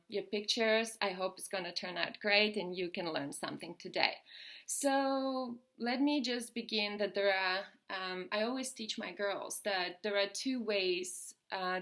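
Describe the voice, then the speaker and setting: A woman's voice: mid-range voice, fairly bright tone. A woman, a model and coach giving posing tips live on a phone. She talks in a chatty, instructive way with many fillers and nervous little laughs. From Lithuania. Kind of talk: live-stream posing tutorial. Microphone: phone camera.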